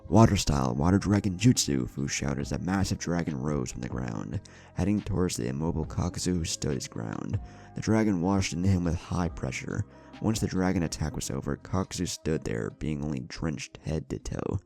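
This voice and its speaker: A man with an extremely low, creaky voice narrates a scripted fantasy battle story. His tone is dark and mellow, with music playing underneath. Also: lively intonation, normal speed. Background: background music.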